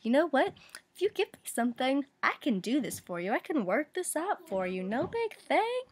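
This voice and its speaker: A cocky little storybook imp, acted out by a woman in a raised, light pitch. He talks in breezy slang and wheedles as he bargains, casual and sure of himself. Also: sing-song, normal speed, slight background noise. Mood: breezy, bargaining.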